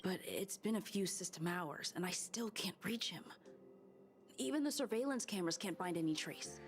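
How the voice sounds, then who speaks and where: An actress playing an earnest, worried security officer in a video game, serious and plainspoken. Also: female voice, fairly low pitch, lively intonation, moderate pace, soft background music, natural voice.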